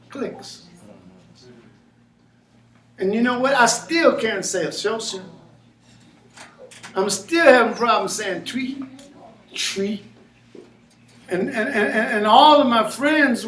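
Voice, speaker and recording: A man gives an animated, informal lecture, often turning questions back on his small audience. His voice sits high for a man. It was picked up in an echoey room from some distance, with a faint room hiss behind it. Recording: echoey room, mic far off.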